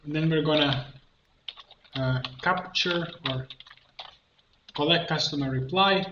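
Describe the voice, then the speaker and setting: A man giving an unscripted software tutorial, haltingly narrating each step as he works and thinking aloud. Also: on the high side. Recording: muffled lo-fi, roomy echo, background noise.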